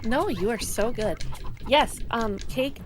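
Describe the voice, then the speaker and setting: A woman's voice: a little high, expressive, slow. A woman casually hosting a live gaming marathon stream. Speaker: marathon host.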